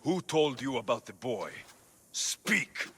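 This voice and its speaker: An actor playing a hard-edged mercenary who questions people bluntly and firmly. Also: male voice, medium pitch, very animated, slow, pushed and bright, a little hiss or hum, full character voice. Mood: stern. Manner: firm, interrogating, blunt. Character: hard-edged young male Greek mercenary, commanding. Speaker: player character, mercenary. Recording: game score underneath.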